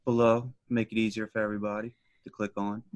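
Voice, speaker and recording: A man's voice: on the low side, little pitch movement, quick pace. A man co-hosting an interview, chatting casually and asking practical questions. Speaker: interview co-host.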